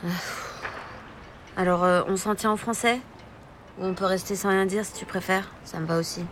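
A woman's voice: mid-range voice, steady tone, moderate pace, a little hiss or hum, close to an everyday voice. An actress playing a sullen, blunt Egyptian boy in an audio drama.

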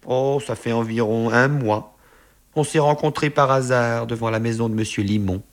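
A man voicing a naive, excitable, romantic young fellow who is easily overcome and given to exclaiming. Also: mid-range voice, normal speed, pitched above the performer's own voice, close to an everyday voice. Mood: eager, aggrieved. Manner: emotional, exclamatory.

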